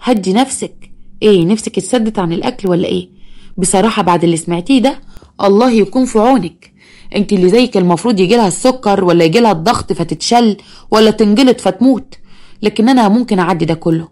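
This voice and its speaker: A woman's voice: medium pitch, fast talker, a little mellow, lightly acted. A woman with an Egyptian accent voicing a smug, scheming young man who mocks and blackmails his stepmother. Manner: mocking, smug, sweet-talking.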